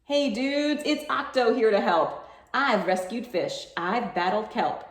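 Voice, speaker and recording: A woman's voice: fairly high pitch, slow, echoey room. A woman voicing a laid-back, eager surfer-dude octopus in a storybook, with breezy, boastful slang. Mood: breezy, confident.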